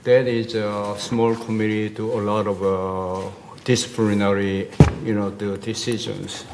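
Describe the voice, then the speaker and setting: An older man, a board member speaking up at a community meeting, hesitant and slow as he gropes for words. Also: lowish voice, level tone, very noisy.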